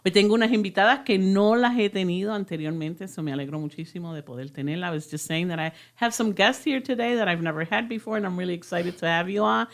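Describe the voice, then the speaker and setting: A woman's voice: medium pitch, quick pace, few pauses. A woman hosting a radio interview, switching between Spanish and English in a chatty, laughing way. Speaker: radio host. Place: radio studio.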